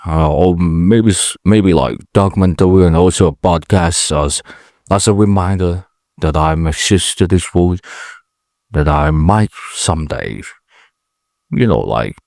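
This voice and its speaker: A man's voice: deep voice, darkish tone, no echo, studio quality. A man in his late twenties from Indonesia, speaking English with an Indonesian accent, rambling and correcting himself as he hosts his own vlog podcast. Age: late 20s. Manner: halting, self-correcting, chatty. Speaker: podcast host. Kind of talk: rambling solo vlog talk. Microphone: camera mic.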